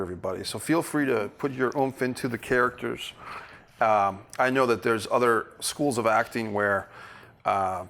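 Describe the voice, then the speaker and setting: A man leading a table read as director, giving casual instructions and reading stage directions in a halting way. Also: fairly low pitch, fairly bright tone.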